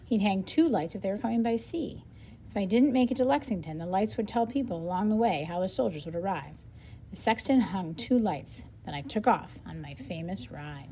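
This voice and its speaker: A woman reading a nonfiction book aloud as a lesson for children, expressively, pausing to explain things simply and think out loud. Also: medium pitch, expressive, rough recording.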